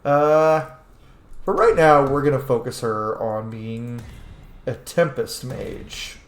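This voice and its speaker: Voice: medium pitch, steady tone, slow, reverberant. A video game streamer giving casual let's play commentary, informal and sweary, thinking aloud.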